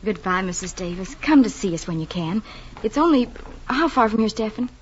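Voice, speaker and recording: An actress playing a proud, earnest, romantic young wife in a 1940s radio drama. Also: female voice, medium pitch, animated, fairly quick, a little hiss or hum, lightly acted. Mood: courteous. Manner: earnest, firm. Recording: muffled old radio broadcast.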